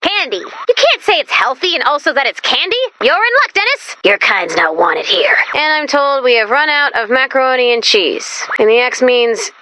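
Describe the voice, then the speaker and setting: A woman voicing a perky, quirky young female robot sidekick in a video game, chatty and expressive with an anxious, earnest streak. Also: high voice, normal speed, hardly any pauses, no room sound. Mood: giddy, excited. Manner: expressive, quippy, nervously chatty. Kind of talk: video game character voice lines.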